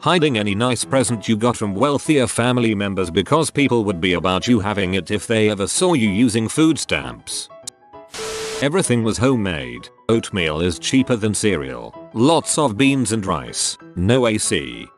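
A man reads forum posts aloud as a narrator, in a low voice with lively, varied intonation. His delivery is steady and scripted. Soft music plays faintly beneath him. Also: normal speed.

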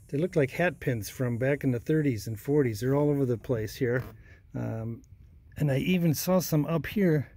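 A man giving a casual, unhurried walkaround of an old car as he films it with a handheld phone, pausing often with ums and uhs. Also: mid-range voice, dry recording. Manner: unhurried, plain-spoken, many ums and uhs, trails off quietly. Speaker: vintage car restoration vlogger. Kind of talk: casual walkaround narration behind a handheld camera. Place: old car in a garage and driveway. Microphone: phone camera held in hand.

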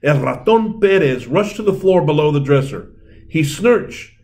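A middle-aged man reading a children's story aloud in an expressive, deliberate way. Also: on the high side. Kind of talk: storybook read-aloud, bilingual. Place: indoor room, seated at a table.

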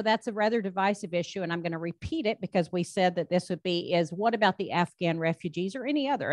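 Low-voiced woman at a podium. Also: no echo, clean studio sound. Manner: folksy, emphatic, self-deprecating. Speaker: state labor commissioner speaking to a club. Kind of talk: public speech with audience questions. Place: meeting room, standing at a podium. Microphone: handheld microphone.

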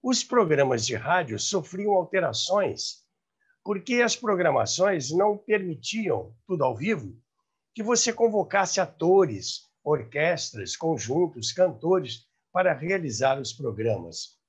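Male presenter, seventies, Brazilian accent, husky and very lively, recounting history to camera. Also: on the high side, slow. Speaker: host. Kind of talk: storytelling about radio history, to camera. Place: room by a large window, indoors. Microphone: lapel mic.

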